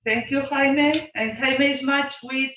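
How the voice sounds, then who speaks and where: A woman's voice: high-pitched, steady tone, takes it slowly, a little hiss or hum. A woman hosting an online group session with informal introductions and a self-deprecating, joking manner. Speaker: host of an online student exchange session. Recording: roomy, distant, dull video-call audio.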